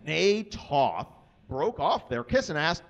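A man in his thirties giving a comic live reading of fan fiction on a small stage, deliberately tripping over the mistakes in the text. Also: a little high, very animated. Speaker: live reader. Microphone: stand microphone on stage, filmed from a distance. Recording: dull, muffled live stage recording.

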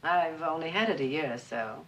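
An actress playing a reserved, wistful, probing mother of a missing daughter in a vintage suspense drama, speaking in a measured, formal way. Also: low-pitched, a bit bright, poor audio. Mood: guarded. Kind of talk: 1960s television suspense drama acting.

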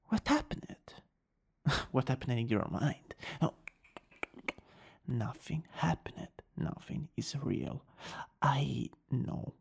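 A man with an Italian accent playing a teasing, soothing country-boy lover in an improvised ASMR roleplay, murmuring intimately in a breathy, raspy whisper. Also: medium pitch, expressive, heavily acted. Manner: slow, hesitant, intimate murmuring.

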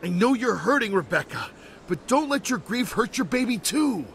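A man's voice: high voice, very animated, moderate pace, gravelly, a bit bright, slight background noise, full character voice. An actor playing an anguished middle-aged man in a video game drama, urgent and argumentative as he pleads for his family. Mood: frustrated concern. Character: anguished, insistent brother-in-law pleading for the baby. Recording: music behind the voice. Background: music.

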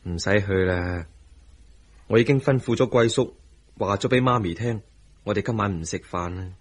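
An actor playing an assertive, affectionate young husband in a Hong Kong Cantonese radio drama, husky and quietly insistent. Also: male voice, lowish voice, normal speed. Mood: intimate, insistent.

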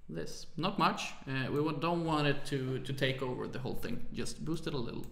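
Hesitant man, gravelly voice. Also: mid-range voice, normal speed, echoey room. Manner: hesitant, many "uh" fillers, apologetic about his English. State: tired, late at night. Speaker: music production tutor. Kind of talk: screen-recorded software tutorial.

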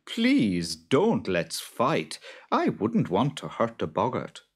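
A man's voice: mid-range voice, huge pitch swings, slow, slightly rough, pitched above the performer's own voice, heavily acted. A man voicing a plucky, teasing farmer in a children's storybook. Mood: mock-polite. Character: cheerful male farmer, plucky, teasing underdog.

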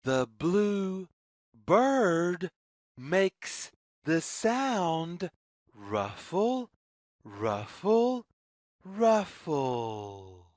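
Kids story narrator, sing-song read-along, super slow w/ pauses, pitch goes up and down a lot. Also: big pitch swings, darkish tone, no room sound, clean studio sound.

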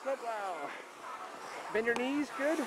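A man's voice: very high-pitched, lively intonation, slow, a bit bright. A man in his forties, a father filming his family at a small snowy ski hill, talking in a chatty, upbeat way and coaching a child along. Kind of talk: casual home video commentary. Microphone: handheld camcorder at arm's length. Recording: noisy outdoor camcorder audio.

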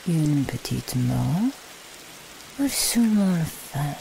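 A woman voicing a menacing, mocking French thrall in an ASMR audio roleplay, speaking with a French accent in a deliberate way. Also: low voice, sing-song, gravelly, warm tone, a little hiss or hum, exaggerated voice, rough recording. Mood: cold menace.

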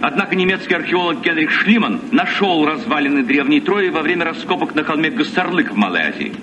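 An actor playing a learned, sceptical sea captain in a measured, lecturing manner in a Soviet children's radio play. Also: male voice, on the high side, sing-song. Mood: scholarly. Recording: muffled, noisy old radio recording, distant.

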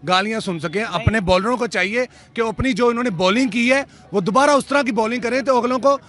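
A man's voice: very high voice, fast talker, a bit bright. A young man in a street crowd at night, talking fervently and preachily into an interviewer's mic, often invoking God. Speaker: cricket fan interviewee. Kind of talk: impassioned street opinion. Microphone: handheld mic held by interviewer.

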